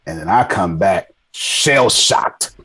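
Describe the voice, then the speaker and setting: Male, 40, lowish pitch, podcast guest, casual and self-deprecating storyteller who repeats himself for emphasis. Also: expressive, slow, pushed and bright, slightly rough. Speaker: podcast guest, army veteran. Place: indoors on a video call.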